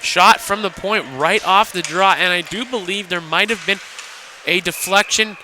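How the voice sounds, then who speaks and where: A man's voice: high voice, moderate pace, sharp tone. A man giving live radio analysis as color commentator at an ice hockey game. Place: indoor hockey arena. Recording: noisy live arena radio broadcast.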